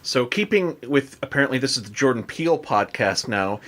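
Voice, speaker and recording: A man's voice: medium pitch, lively intonation, brisk, fairly bright tone. An American man hosting a podcast, chatting casually in an informal, rambling way. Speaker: podcast host.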